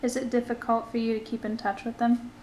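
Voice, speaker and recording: A woman's voice: a little high, level tone, fast talker, a little mellow, roomy sound, slight background noise. A young woman asking interview questions casually and encouraging answers with mm-hmms.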